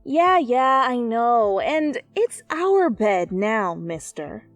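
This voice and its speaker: A woman acts out a cheeky young girlfriend in a fan fiction story, affectionate and teasing. Her lines are animated and raised in pitch, with music behind. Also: slow, no echo. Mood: teasing, smug.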